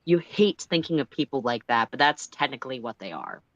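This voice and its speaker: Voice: mid-range voice, sing-song, a little fast, fairly bright tone, no room sound, dull audio. Storyteller with a dry, close sound. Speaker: narrator reading a story aloud on a livestream. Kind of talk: expressive storytelling narration.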